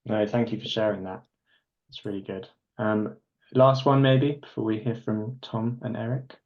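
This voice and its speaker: A man's voice: on the low side, fairly even intonation, moderate pace, lo-fi sound. A man hosting a forum discussion, thanking contributors briefly and inviting more to share. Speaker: host. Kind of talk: moderating a forum.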